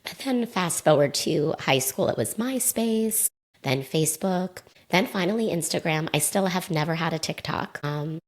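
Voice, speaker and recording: A woman in her thirties speaks in a low register with a slight rasp, a little hoarse from a sore throat. She talks as a podcast host on her own, in a candid, apologetic and conversational way. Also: lively intonation, normal speed. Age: 30s. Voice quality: slightly raspy. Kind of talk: casual solo podcast monologue.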